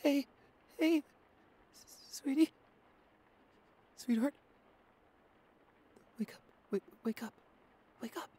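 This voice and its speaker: A man's voice: extremely high pitch, slow, long pauses, dark tone, poor audio. A man voicing a shy, anxious, apologetic nineteen-year-old boyfriend in an ASMR roleplay, stammering and hesitant as he pleads. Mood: panicked pleading.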